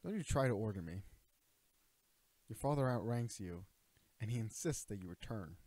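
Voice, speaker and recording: A man's voice: fairly low pitch, expressive, moderate pace, dark tone, no echo. A man playing an earnest royal knight and bodyguard in a romantic audio roleplay, speaking formally and hesitantly to his princess. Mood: stern, pleading. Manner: earnest, hesitant, stammering, formal courtly address. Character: young male knight, protective royal bodyguard, earnest and flustered. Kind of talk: romantic audio roleplay acting, addressing the listener.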